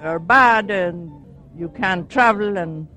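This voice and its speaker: A man giving short, halting answers in an interview, with frequent pauses and fillers. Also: sits high, pushed and bright, faint music behind.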